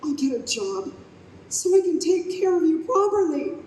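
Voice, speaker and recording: Woman playing high, swooping, emotional young mother. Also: very animated, moderate pace, a little mellow. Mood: tender. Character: emotional young party-loving single mother, doting yet frazzled. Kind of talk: live old-time radio play acting. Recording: echoey live stage with background noise.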